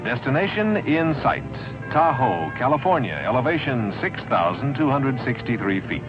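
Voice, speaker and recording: Man as 1960s instructional film narrator, mid-pitched and expressive, dramatic pauses, music underneath. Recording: muffled 1960s 16mm film soundtrack.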